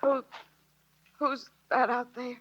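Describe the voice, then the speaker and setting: A woman's voice: a little high, little pitch movement, takes it slowly, noisy background. An actress playing an emotional young saloon girl in a 1949 radio drama, tearful and breathless. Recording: dull 1949 radio broadcast.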